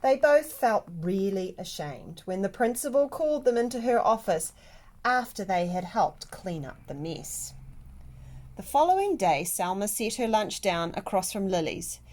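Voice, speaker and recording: A woman reading a children's picture book aloud like a teacher, with deliberate, expressive emphasis. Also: mid-range voice. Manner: animated, deliberate, with dramatic emphasis.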